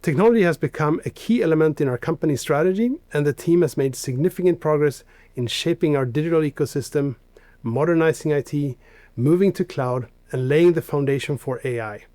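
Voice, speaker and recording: A man's voice: on the high side, brisk, dark tone, no room sound. A man from Sweden, a technology executive giving a polished, structured prepared introduction to colleagues. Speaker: newly appointed chief information officer. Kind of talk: prepared corporate introduction speech.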